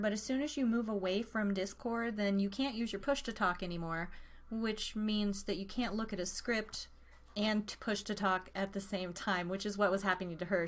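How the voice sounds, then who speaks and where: A woman's voice: mid-range voice, fairly bright tone. A woman from the United States casually hosting a live show. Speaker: show host.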